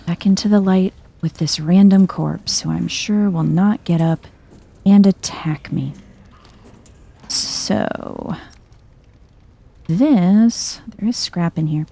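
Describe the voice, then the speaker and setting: A soft-spoken woman giving chill, understated game commentary in an ASMR style, musing aloud as she plays. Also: lowish voice, slow, vocal fry, pauses often. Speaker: video game streamer.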